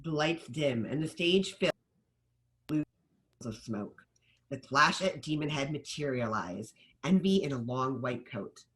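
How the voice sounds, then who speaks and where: A woman's voice: very deep voice, expressive, a little slow. A woman reading stage directions as scripted narration at a screenplay table read.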